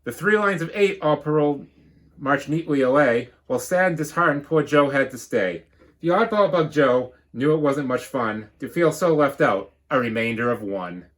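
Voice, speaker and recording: Animated man reading a storybook aloud. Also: fairly high pitch. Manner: rhythmic rhyme reading, dropping into quiet explanatory asides. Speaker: teacher reading a picture book aloud.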